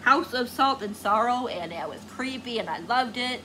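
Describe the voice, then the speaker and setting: A woman's voice: fairly high pitch, lively intonation, normal speed, sharp tone, slight background noise, low-quality recording. A woman book vlogger chatting casually to viewers, gushing and rambling as she searches for words.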